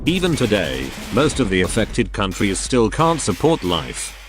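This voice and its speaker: A man playing a composed, wry artificial intelligence who narrates a scripted audio story in measured phrases. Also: fairly low pitch, wildly swooping pitch, full character voice. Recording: music under the voice.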